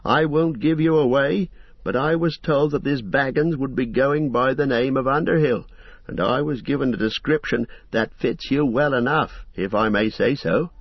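A man's voice: mid-range voice, normal speed, slightly rough, no room sound, pitched above the performer's own voice. A man voicing a fussy, long-winded middle-aged innkeeper in an audiobook, flustered and apologetic. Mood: chatty, apologetic. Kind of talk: audiobook character voice. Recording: slightly muffled audio.

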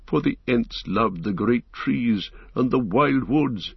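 A man voicing an ancient, slow-spoken male tree giant, unhurried and musing, with a gravelly, husky voice. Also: mid-range voice, lively intonation, dry recording, lo-fi sound, full character voice. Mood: storytelling.

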